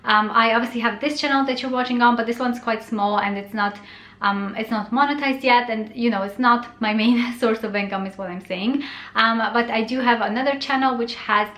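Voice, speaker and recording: A young woman, a native Romanian speaker, chats to camera in a slightly high voice. She sounds friendly and loose, with plenty of "um"s. The sound carries a noticeable room echo. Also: fairly quick, fairly bright tone. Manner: chatty, friendly, many fillers. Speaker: blogger and YouTuber. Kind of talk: casual talk to camera. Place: bright bedroom, indoors.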